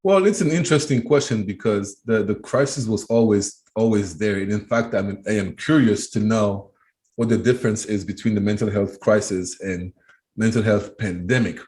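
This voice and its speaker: A man's voice: lowish voice, normal speed, a little mellow. A man in his thirties from Cameroon, answering interview questions thoughtfully, often restarting and repeating words as he thinks. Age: 30s. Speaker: guest, education trainer. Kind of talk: interview conversation.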